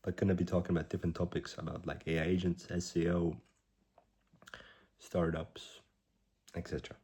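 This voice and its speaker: A man in his twenties, a startup founder, recording a rambling video diary at a hushed volume while slightly sick with a cough. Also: low voice, little pitch movement, slightly rough. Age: 20s. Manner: rambling, halting, self-correcting; keeps his voice down.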